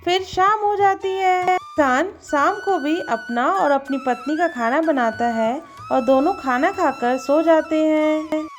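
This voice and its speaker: A woman narrates a scripted tale as storyteller. Her voice is very high, and music plays softly behind it. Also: a little slow, few pauses.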